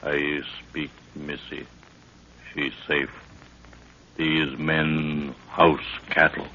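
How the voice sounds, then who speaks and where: A loyal, stoic warrior guide in an old radio adventure, with an extremely deep voice. He speaks very slowly in terse, broken pidgin English. The recording is dull and noisy, from the 1930s. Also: little pitch movement, sharp tone, exaggerated voice. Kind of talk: 1930s radio drama acting.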